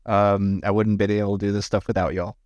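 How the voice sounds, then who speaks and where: A man chatting casually to his livestream viewers, rambling with many restarts and sounding slightly hoarse after hours of talking. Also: fairly low pitch, little pitch movement, a little fast, darkish tone, no echo. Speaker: livestreamer and AI art tutorial maker.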